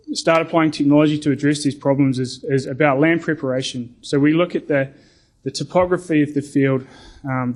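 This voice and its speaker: Middle-aged new zealand guy presenting at a conference, slow and careful, lots of ums and restarts. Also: fairly high pitch, darkish tone. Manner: deliberate, frequent ums and repeated words. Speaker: conference speaker.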